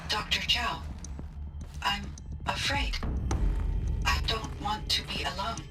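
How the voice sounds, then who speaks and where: A woman's voice: medium pitch, recorded from a distance, over loud music, natural voice. A woman as a formal, earnest female AI. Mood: frightened. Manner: polite, measured, formal.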